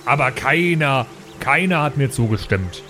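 A man's voice: medium pitch, lively intonation, measured pace, pushed and bright, soft background music. Man playing a blunt, reckless dwarf bruiser. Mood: defiant. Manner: blunt, teasing. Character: reckless, simple, fight-loving dwarf brother. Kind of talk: improvised fantasy audio drama.

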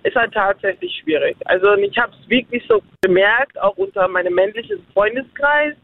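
A woman's voice: mid-range voice, expressive, moderate pace, no echo. A woman in a casual chat who rambles, repeats herself and giggles as she talks. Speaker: guest sharing her views. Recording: dry tinny phone-like sound.

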